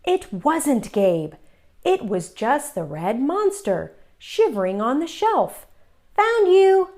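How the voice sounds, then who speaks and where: A woman reading a children's picture book aloud as an expressive, theatrical narrator with dramatic pauses and emphasis. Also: on the high side. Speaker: children's story reader.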